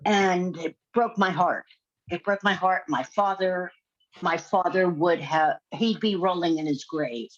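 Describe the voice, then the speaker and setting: A woman's voice: lowish voice, unhurried. An older woman asking a question on a video call, speaking emotionally and repeating her words. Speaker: audience member in Q&A. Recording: dull lo-fi video call audio.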